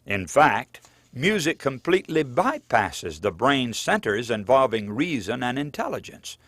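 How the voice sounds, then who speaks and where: A man reading his own book aloud as scripted audiobook narration, in an earnest, measured preaching cadence. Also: mid-range voice, very animated, a bit bright, no room sound.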